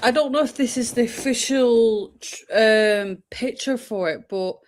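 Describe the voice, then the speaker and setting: A woman's voice: a little high, unhurried, a little hiss or hum. Hesitant woman chatting on a stream. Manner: hesitant, trails off mid-sentence. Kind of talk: casual live-stream chat.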